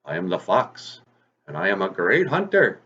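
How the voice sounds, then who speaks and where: A man's voice: sits low, wildly swooping pitch, moderate pace, pushed and bright, slightly rough, highs cut off, exaggerated voice. A man voicing a boastful, easily fooled fox hunter in a told story, with an indignant, declamatory manner. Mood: angry, frustrated.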